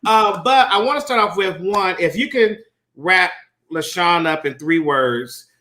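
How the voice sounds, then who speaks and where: Animated high-voiced man in his thirties. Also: unhurried. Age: 30s. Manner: animated, laughs often, lots of fillers. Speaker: host. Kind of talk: casual interview.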